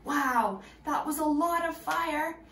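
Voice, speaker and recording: A woman's voice: high voice, slow, a bit bright, roomy sound. A woman teacher reading a storybook aloud expressively and prompting young listeners with questions.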